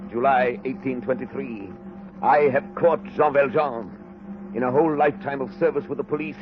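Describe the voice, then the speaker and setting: A man's voice: mid-range voice, close to an everyday voice. An actor playing a severe, zealous police inspector reading formally from his notebook in a 1930s radio drama. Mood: grim satisfaction. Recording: noisy, muffled 1930s radio recording.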